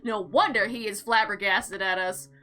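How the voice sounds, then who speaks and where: A woman hosting a let's play, reading game text aloud with expressive, slangy commentary and sarcastic asides. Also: medium pitch, normal speed. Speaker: gaming video host.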